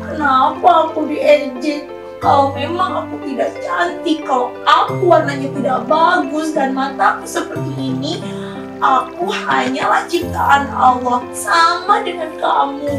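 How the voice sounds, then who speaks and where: A woman voicing a small, kind dragonfly in a children's story, with a gentle, polite and earnest manner. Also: high voice, measured pace, pitched above the performer's own voice. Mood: hurt, forgiving. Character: small, kind, forgiving, eager-to-befriend dragonfly. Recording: roomy echo, far from mic, music behind.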